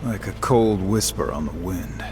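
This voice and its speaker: An actor playing a weary, reluctant warrior in a fantasy game, speaking in a terse, dry, subdued way. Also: male voice, deep voice, measured pace, music in the background. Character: brooding, weary, reluctant wanderer warrior man. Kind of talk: fantasy game character acting.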